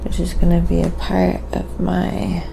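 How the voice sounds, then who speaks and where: Very creaky-voiced woman, casual livestream chat. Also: fairly low pitch, monotone, unhurried. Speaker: live-streaming artist and host. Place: apartment. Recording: clearly noisy livestream recording.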